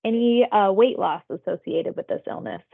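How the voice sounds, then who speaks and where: A woman's voice: medium pitch, sing-song, normal speed, gravelly. Woman interviewer on a tinny phone line. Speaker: public health phone interviewer. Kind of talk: phone survey interview, scripted questions read conversationally.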